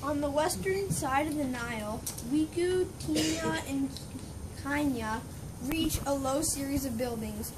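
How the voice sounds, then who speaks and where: Woman narrator, high voice, scripted stage reading, slightly halting, distant mic, noisy background. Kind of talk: scripted narration for amateur readers theater.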